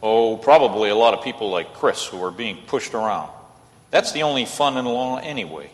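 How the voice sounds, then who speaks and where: A man's voice: on the low side, expressive, moderate pace, natural voice. A man acting an idealistic, earnest lawyer in an old-time radio play, speaking persuasively. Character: idealistic, earnest male lawyer with stubborn faith. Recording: reverberant hall, stage radio play.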